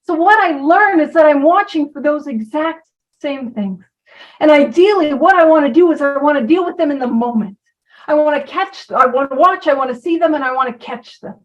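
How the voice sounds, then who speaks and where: A woman's voice: high voice, moderate pace, recorded from a distance, muffled recording. A woman giving an informal talk about recovery, chatty and self-deprecating, who drifts from point to point. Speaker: recovery program speaker.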